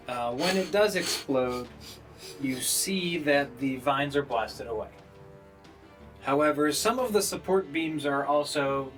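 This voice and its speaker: A man running a tabletop game as game master, narrating scenes off the cuff with halting pauses to think. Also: a little high, fairly bright tone.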